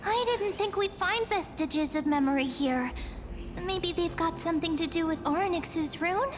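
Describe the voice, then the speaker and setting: Very high, perky little girl. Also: normal speed, music in the background. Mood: curious, chirpy. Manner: perky, inquisitive. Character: small, childlike, bubbly, curious companion girl. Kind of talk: anime game voice acting.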